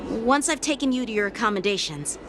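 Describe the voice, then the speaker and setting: A woman's voice: on the high side, expressive, a little fast, background noise, natural voice. A woman voicing an earnest, polite and modest young boy in a video game, with dutiful, formal phrasing. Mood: humbled, resolute. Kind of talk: English video game voice acting. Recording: loud background music under the dialogue. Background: game music.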